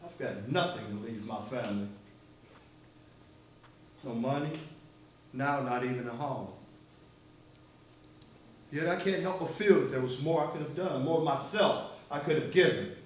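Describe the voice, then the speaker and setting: An actor playing a weary, outspoken activist in a stage play, brooding and intense. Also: male voice, medium pitch, normal speed, long pauses, natural voice. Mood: grieving. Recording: echoey, distant stage recording, faint hiss.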